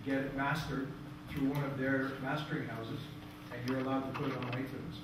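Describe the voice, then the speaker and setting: A man's voice: medium pitch, flat delivery, normal speed. Distant man asking from audience. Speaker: audience member, musician. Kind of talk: informal questions from the floor. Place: indoor presentation room, in audience. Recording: echoey room, distant mic, noisy.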